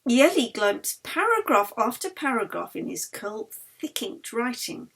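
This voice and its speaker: A woman reads literary fiction aloud as its author. Her voice is fairly high with a breathy, husky grain, and her storytelling is measured and expressive. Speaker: author reading her novel. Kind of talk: literary audiobook narration.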